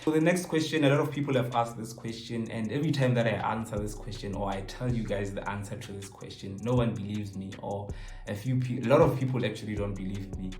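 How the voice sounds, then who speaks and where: A young man with a South African accent talks in a fairly low voice, joking his way through viewer questions. He slips between languages as he chats. The room echoes and music plays faintly behind him. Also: fast talker. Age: 20s. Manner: animated, joking, code-switches between languages. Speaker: YouTuber answering viewer questions. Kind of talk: casual chat to camera.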